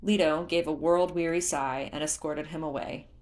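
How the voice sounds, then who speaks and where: A woman reading a story aloud in an expressive, scripted narration style. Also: deep voice, moderate pace. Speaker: fan fiction narrator.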